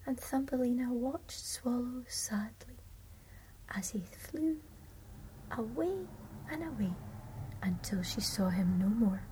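A woman narrating a fairy tale in a soft, mostly unvoiced whisper, slow and gentle. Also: fairly high pitch, lively intonation, slight background noise, poor audio. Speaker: storyteller.

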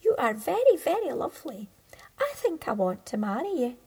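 A woman voicing a vain, fickle little male beetle in a high, sing-song voice. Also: sing-song, moderate pace, dark tone. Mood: smitten.

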